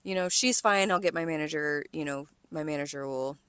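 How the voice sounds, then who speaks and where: Low-voiced American woman telling stories, close dry sound. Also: fairly quick, clear, resonant tone, dry recording. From California, United States. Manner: animated, sweary, quotes conversations in acted voices. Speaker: podcast co-host, restaurant server. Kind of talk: casual storytelling chat.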